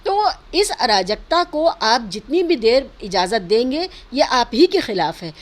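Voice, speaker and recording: Woman, notably high voice. Interview guest arguing social and political points earnestly, with English phrases mixed in. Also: sing-song, unhurried. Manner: emphatic, argumentative, mixes in English words and phrases. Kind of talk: earnest opinion interview, spontaneous speech.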